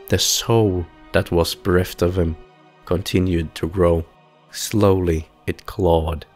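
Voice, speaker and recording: A man narrating a fantasy story as a scripted audio play, with a solemn, measured, literary storytelling delivery. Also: low voice. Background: music.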